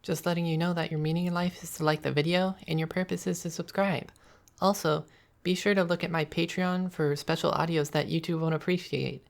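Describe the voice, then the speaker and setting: A man hosts an ASMR channel and delivers a short intro to listeners. His voice is soft-spoken and gravelly with fry, pitched fairly high for a man. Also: level tone, brisk.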